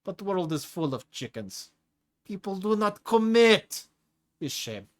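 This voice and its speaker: A man's voice: fairly high pitch, animated, measured pace. A man voicing a huge, clawed male tiger-like prisoner who speaks broken English in a thick accent and boasts about his fights. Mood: boisterous. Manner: boastful, relishing violence.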